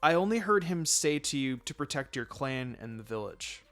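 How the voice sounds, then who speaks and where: A man voicing a feisty young ninja woman in a fan fiction story, blunt and expressive. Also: medium pitch, normal speed, soft background music. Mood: shy, hesitant.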